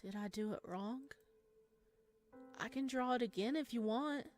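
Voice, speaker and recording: A woman's voice: on the high side, unhurried, a little mellow, voice pushed up, natural voice. A clingy male creature from a horror visual novel, acted out by a woman, speaks with eerie devotion. He pleads hesitantly, meek and eager to please, with music underneath. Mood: timid pleading.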